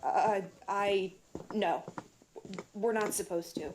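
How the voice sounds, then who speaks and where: A woman's voice: mid-range voice, takes it slowly, a little mellow, a little hiss or hum, lightly acted, rough recording. A woman playing a hesitant, guarded member of a self-improvement group in a naturalistic audio drama. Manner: hesitant, apologetic.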